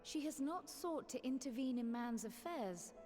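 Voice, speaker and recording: A learned woman of a fantasy game world, composed and formal. Her diction is measured and old-fashioned. The voice rings with long reverb over clearly audible music. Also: high voice, animated, warm tone. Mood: measured, thoughtful. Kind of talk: scripted video game voice acting.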